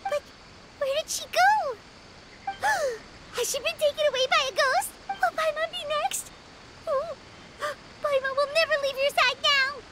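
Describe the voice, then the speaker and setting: A woman voicing a tiny, cheeky, excitable fairy companion with a squeaky childlike voice in a video game. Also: unhurried, pauses often, poor audio. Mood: spooked. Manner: refers to herself in third person.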